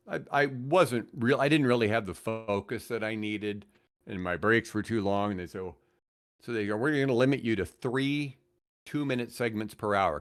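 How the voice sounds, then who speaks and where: An older man with an American accent, telling rambling, animated anecdotes casually as a radio veteran on a podcast. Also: on the low side. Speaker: radio host and guest.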